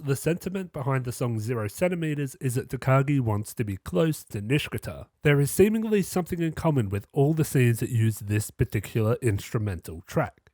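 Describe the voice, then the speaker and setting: A man's voice: mid-range voice, darkish tone, dry recording. A man in his twenties with a cold that makes him a little nasal, reading a scripted, reflective analysis of an anime as host of his channel. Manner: measured, reflective explaining. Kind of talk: scripted video-essay narration.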